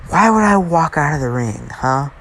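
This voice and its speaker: A man's voice: a little high, animated, a bit bright, slight background noise. A man voicing a smug, condescending teenage hero student in an anime-style story, mocking his rival. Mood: menacing taunt. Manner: mocking, condescending, drawn-out taunts. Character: smug, taunting teenage superhero student with evil smile.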